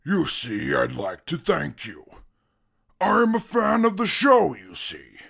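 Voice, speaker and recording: Slow, gravelly, proud mutant brute. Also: male voice, fairly high pitch, wildly swooping pitch, exaggerated voice. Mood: smug, formal. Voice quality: gravelly, husky. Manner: formal, deliberate speech flaring into shouted outbursts, then apologizing. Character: educated super mutant brute, proud, short-tempered, self-styled genius. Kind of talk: post-apocalyptic audio drama acting.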